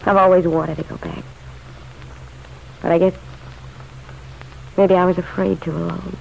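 A woman's voice: on the low side, level tone, unhurried, gravelly. An actress playing a bitter, pleading, lovelorn city woman in a vintage radio drama, blunt, slangy and insistent. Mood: eager pleading. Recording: dull, noisy old radio recording.

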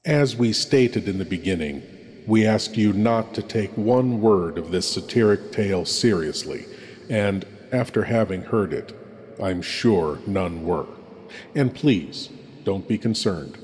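A man's voice: fairly low pitch, expressive, unhurried, a little mellow. A man hosting a horror show, giving scripted, formal and mock-ominous theatrical narration. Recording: long hall-like reverb.

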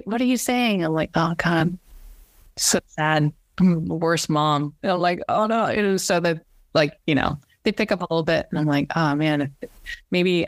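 A woman's voice: low voice, no room sound. A middle-aged woman, a cinematographer, talking casually as a podcast guest, restarting her sentences often. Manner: chatty, many restarts and fillers. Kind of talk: casual interview chat.

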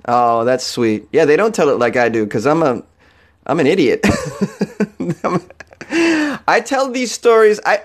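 A young man hosting a comedy podcast, talking loosely and joking, with frequent laughs. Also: on the high side. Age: young adult. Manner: joking, laughs often, playful. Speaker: podcast host. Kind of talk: casual comedic monologue.

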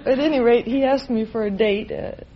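A woman's voice: a little high, normal speed. An American woman in her twenties giving matter-of-fact, unscripted answers as a contestant. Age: 20s. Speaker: contestant, resident physician. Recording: dull old radio recording, faint noise.

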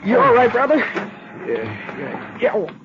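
An anxious bystander in 1950s radio drama. His voice is high and swooping. The vintage recording is muffled and loud with noise. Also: big pitch swings, measured pace, vocal fry, full character voice. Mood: urgent, shaken. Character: anxious male bystander helping at an accident.

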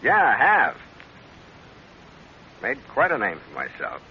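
An actor playing a tough, wisecracking sea captain in an old-time radio adventure, with breezy, slangy talk. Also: male voice, medium pitch, wildly swooping pitch, takes it slowly. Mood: breezy, guarded. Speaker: hero and first-person narrator. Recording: dull, hissy old radio recording.

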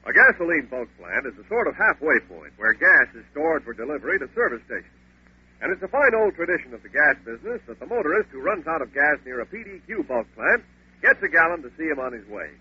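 A man's voice: medium pitch, husky. A male radio announcer telling a sponsor's story in a 1940s style. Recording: dull old radio recording.

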